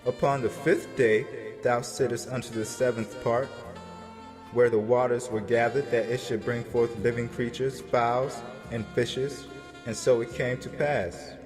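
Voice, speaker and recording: A man narrating scripture as a drama, in a solemn, deliberate, declamatory manner. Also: mid-range voice, steady tone. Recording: long reverb with music behind.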